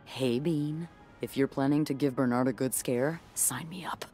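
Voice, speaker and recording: An actress playing a bold, wry, mischievous hotel employee in a narrative game. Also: female voice, extremely low pitch, moderate pace, mellow, soft background music. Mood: playful, conspiratorial. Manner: wry, brazen, teasing.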